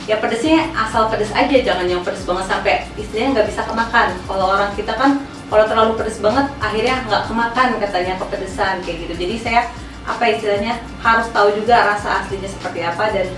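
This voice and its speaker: A young woman from Indonesia, a cook, explains her cooking at length and fills pauses as she goes. Her voice sits at a middle pitch, and she is recorded from a distance in a reverberant room with music under her. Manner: explains at length, many fillers. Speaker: guest, Korean food cook. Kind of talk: casual interview answers. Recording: echoey room, mic at a distance, music behind.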